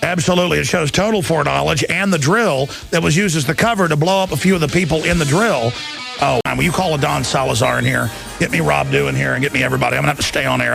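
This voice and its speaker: Very gravelly, agitated male talk radio host. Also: medium pitch, a bit bright. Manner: agitated, rambling, emphatic, with repeated words. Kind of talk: impassioned unscripted talk radio rant. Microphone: close studio broadcast microphone.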